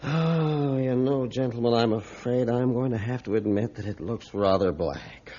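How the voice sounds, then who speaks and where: An actor playing a grave, resolute ship's captain in a 1950s radio drama, speaking formally and solemnly. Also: male voice, medium pitch, moderate pace, warm tone, close to an everyday voice. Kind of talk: 1950s radio adventure acting. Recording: muffled old radio recording.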